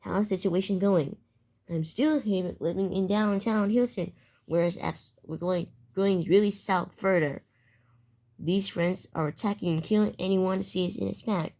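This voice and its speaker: A woman's voice: mid-range voice, fairly even intonation, a little slow, warm tone, poor audio. A woman delivering an amateur mock emergency broadcast as a news anchor, stumbling and repeating phrases as she goes.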